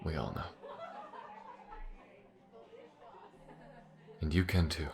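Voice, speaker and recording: Man acting very deep-voiced, earnest spaceship smuggler. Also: steady tone, over loud music. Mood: distraught, pleading. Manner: halting, earnest, emotional delivery. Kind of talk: dramatic audio roleplay acting.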